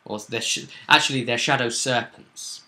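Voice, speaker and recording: A man hosting a casual recap of a tabletop roleplaying session as game master, telling it with dramatic emphasis and pauses. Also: medium pitch, fairly even intonation, sharp tone.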